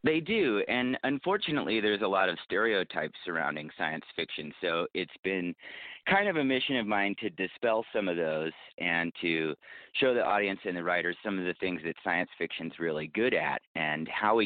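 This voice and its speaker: A man's voice: deep voice, lively intonation, moderate pace, dry recording. A man giving earnest, enthusiastic answers in a conversational interview as a podcast creator and guest, over a call. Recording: narrow, tinny phone-line sound.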